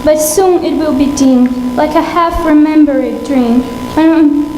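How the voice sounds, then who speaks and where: A woman's voice: high voice, measured pace, warm tone. A woman playing a hurt but resolute young fiancée, earnest and reproachful, in a live radio play. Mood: sorrowful, resolute. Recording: echoey hall, background noise.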